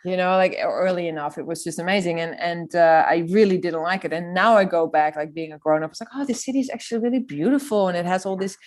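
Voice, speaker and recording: A woman's voice: fairly low pitch, fast talker, hardly any pauses. A woman hosting a podcast interview, chatty and eager, often restarting her sentences. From Netherlands. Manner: enthusiastic, chatty, many restarts. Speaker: podcast host. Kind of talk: casual interview.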